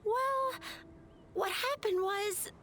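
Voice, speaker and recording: A woman's voice: extremely high pitch, extremely slow, over a noisy crowd, full character voice. A woman playing a timid, sweet young flower seller in a video game, nervous and hesitant. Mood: nervous, guilty. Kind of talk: video game character acting. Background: game music.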